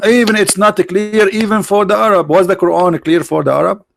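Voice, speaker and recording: A man hosting a debate, arguing forcefully and repeating his points in broken English. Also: high voice, fairly even intonation, fairly quick, vocal fry, a little hiss or hum. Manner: forceful, repetitive, nonnative English grammar. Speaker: debate host. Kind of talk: argumentative debate on a live call.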